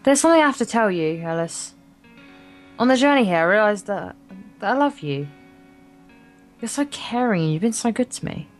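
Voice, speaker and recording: A woman's voice: mid-range voice, very animated, moderate pace, pauses often, soft background music, lightly acted. A woman voicing an earnest, lovestruck, deluded teenage schoolgirl in a visual novel. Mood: smitten, hopeful.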